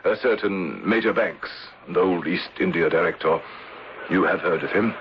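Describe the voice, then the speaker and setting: An actor playing a grave, formal gentleman rescuer and narrator in an old-time radio drama. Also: low voice. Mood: courteous. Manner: formal, measured, courtly. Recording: dull, noisy old radio broadcast.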